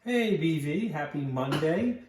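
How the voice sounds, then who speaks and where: A man's voice: on the high side, animated, measured pace, a little mellow. A man giving friendly, upbeat school announcements in a casual style, recorded from a distance in a room at home. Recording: roomy, distant mic, faint noise.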